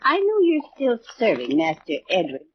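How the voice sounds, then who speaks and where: A woman's voice: mid-range voice, huge pitch swings, normal speed. An actress playing a stubbornly loyal older woman, a former servant, firm and insistent in an old-time radio detective drama. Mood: chatty, curious. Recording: muffled old radio recording, faint hiss.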